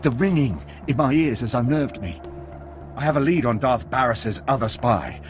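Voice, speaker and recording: Game role: rattled, dutiful male intelligence agent, actor, clipped and deferential, very lively intonation. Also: medium pitch, normal speed, faint music behind, close to an everyday voice. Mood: earnest, deferential. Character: rattled, earnest male military spy for the Sith. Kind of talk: video game cutscene acting.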